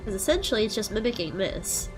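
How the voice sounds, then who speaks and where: A young woman with a head cold and a stuffed-up nose, chatting casually through gameplay and thinking out loud with plenty of ums. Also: medium pitch, fast, music in the background. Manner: relaxed, thinking aloud with frequent ums. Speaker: let's play host.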